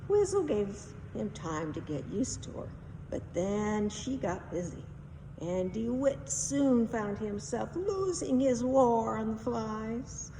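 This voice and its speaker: A woman narrates a children's story dramatically, with very lively pitch and an unrushed pace. The voice sounds distant in an echoey hall, over faint noise. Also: takes it slowly. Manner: dramatic, emphatic. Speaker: read-aloud storyteller.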